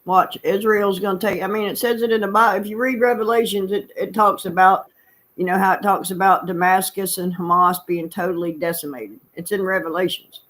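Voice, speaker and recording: A woman's voice: medium pitch, steady tone, fairly quick, fairly bright tone. A woman from Florida with a Southern accent, chatting bluntly and laughing often. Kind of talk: casual chat.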